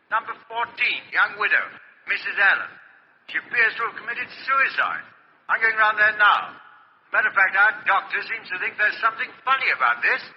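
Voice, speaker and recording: An actor playing a bluff, informal British police inspector making a brisk telephone call in a 1950s radio play. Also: male voice, high-pitched, close to an everyday voice. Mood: brisk, businesslike. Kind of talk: 1950s radio drama acting. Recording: muffled, echoey phone voice, 1950s radio.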